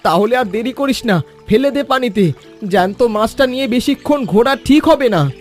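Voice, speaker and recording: A man playing a greedy, lazy, deceitful villager in an animated children's story, pushy, boastful and impatient. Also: extremely high pitch, normal speed, soft background music. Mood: grudging.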